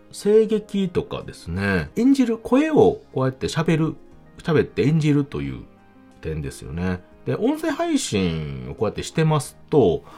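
Male podcast host, low register with very lively intonation, polite presenter phrasing in casual talk. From Kansai, Japan. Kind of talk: casual solo chat to listeners.

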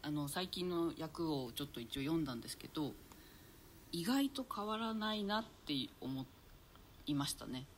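A woman's voice: low voice, animated, moderate pace, a little hiss or hum. A woman chatting casually as a guest actor on a talk show. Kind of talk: casual chat.

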